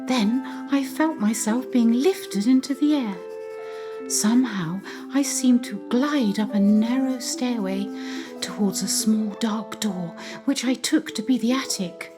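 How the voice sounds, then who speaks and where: A woman's voice: fairly high pitch, unhurried, over music, lightly acted. A woman playing an anxious, curious new governess in an audio drama, earnest and questioning. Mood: frightened. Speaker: amateur actor. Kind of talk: community audio drama acting.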